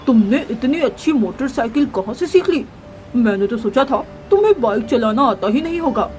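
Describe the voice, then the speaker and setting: Woman voicing a high, friendly cartoon pigeon. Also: lively intonation, normal speed, a little mellow, soft background music, close to an everyday voice, low-quality recording. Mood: amazed. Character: friendly, encouraging young male pigeon.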